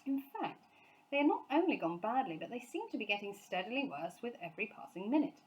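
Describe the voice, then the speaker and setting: A woman's voice: fairly high pitch, very animated, brisk, a little mellow. A woman reading a children's storybook aloud as scripted narration. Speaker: storybook reader, teacher.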